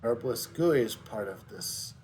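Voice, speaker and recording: A man's voice: animated, moderate pace, darkish tone. A man giving casual, hesitant commentary as he streams a video game. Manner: hesitant, low-key. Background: game music.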